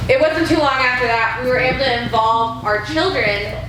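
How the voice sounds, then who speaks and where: A woman's voice: sits high, moderate pace, noisy background. A woman in her thirties leading a church women's group, earnestly sharing a personal testimony in a conversational way, with frequent ums. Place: church hall. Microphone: wireless mic that cuts out. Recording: very echoey church hall.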